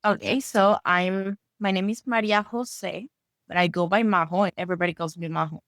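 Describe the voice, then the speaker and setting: A woman's voice: lowish voice, steady tone, normal speed, a bit bright, no room sound, studio quality. A woman in her thirties from Venezuela, a native Spanish speaker, telling a friendly story as a guest author. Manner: friendly, storytelling, uneven pace. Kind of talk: casual interview chat.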